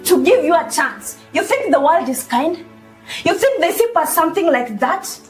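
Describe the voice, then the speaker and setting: A woman's voice: very high-pitched, sing-song, normal speed, faint music behind, low-quality recording. An actress playing a controlling mother who scolds and defends herself to her teenage daughter. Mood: scolding, defensive. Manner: dismissive, scolding.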